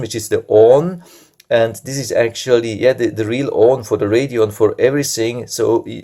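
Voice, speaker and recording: A man's voice: medium pitch, a little mellow. A man giving a casual, unscripted product review, explaining things step by step in a blunt, critical way.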